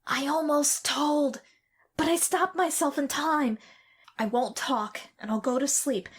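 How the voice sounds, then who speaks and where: High-voiced, fretful sickly young boy. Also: unhurried. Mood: subdued. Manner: plaintive, childlike. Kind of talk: audio drama acting.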